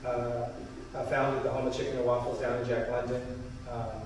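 A man's voice: medium pitch, little pitch movement, moderate pace. Middle-aged man, very echoey room. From Oakland, California. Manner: conversational, casual. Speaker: political candidate and business owner. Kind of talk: informal self-introduction speech. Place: small storefront meeting room, indoors. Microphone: distant camera mic. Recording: echoey room, far camera, noisy.

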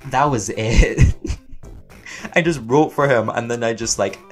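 A man with a mid-range voice talks in a casual, rambling way, like a voice actor fielding questions from listeners. His manner is playful and chatty, with animated emphasis. Music plays behind him. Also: mid-range voice, takes it slowly.

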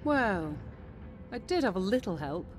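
An actress playing a confident, friendly veteran mercenary officer in a video game, frank and familiar. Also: female voice, mid-range voice, big pitch swings, measured pace, slight background noise. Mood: warm, modest. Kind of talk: video game cutscene acting.

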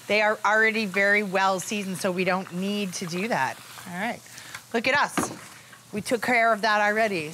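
A middle-aged woman hosts a cooking show from a studio kitchen set, bubbly and playful as she demonstrates to camera. Her pitch sits in the middle range, and she likes to repeat words for emphasis. A faint hiss lies under the close lapel sound. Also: bright, forceful tone. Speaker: TV chef host.

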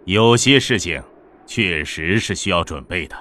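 An actor playing a huge armored evolved monster, a male villain who speaks in a measured, cold and deliberate way. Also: sits low, lively intonation, sharp tone, exaggerated voice.

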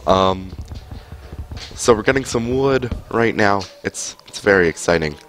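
A man's voice: lowish voice, expressive, measured pace, a bit bright, a little hiss or hum. A young man with a cold, chatting casually as he streams a game on a headset mic at home, rambling with lots of fillers. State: has a cold. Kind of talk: casual gameplay commentary. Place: home room, indoors.

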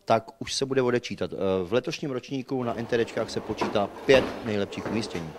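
A man organizing a bowling tournament, talking casually into a handheld mic with relaxed fillers. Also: medium pitch. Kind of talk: casual on-camera bowling chat. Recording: noisy crowded room. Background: loud crowd chatter.

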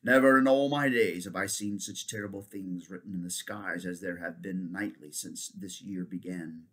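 An ancient centaur stargazer speaks gravely and slowly, in a lowered, husky voice, as a male narrator puts him on. Each warning comes out measured and solemn. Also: fairly bright tone.

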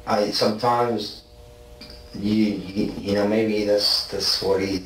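Man in his 40s from south africa, rambling fast under questioning, lowish voice, far off in an echoey room with faint hiss. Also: fairly even intonation. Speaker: suspect being questioned. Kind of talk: police interrogation. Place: small bare interrogation room. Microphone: far ceiling camera.

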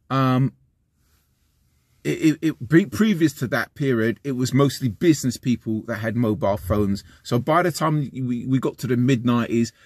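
A man's voice: medium pitch, no room sound, studio quality. A man in his fifties with a West London accent, talking casually to camera from inside a van, rambling and restarting his sentences. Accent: West London English. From London, England. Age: 50s. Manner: rambling, repeats and restarts, animated gestures. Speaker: vlogger. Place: inside a parked van, small enclosed space. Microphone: camera close in front of face.

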